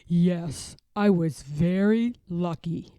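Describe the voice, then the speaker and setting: Woman as elderly male storybook bear, gentle, content, unhurried, emphatic, mellow. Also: fairly low pitch, no echo, studio quality. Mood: fond, steady. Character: gentle old grandfather bear, loving and content. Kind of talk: children's storybook character voice.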